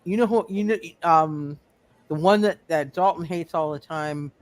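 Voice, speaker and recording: Higher-voiced man, halting delivery. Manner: halting, repeats and restarts phrases. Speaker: game master. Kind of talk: live tabletop superhero roleplay game.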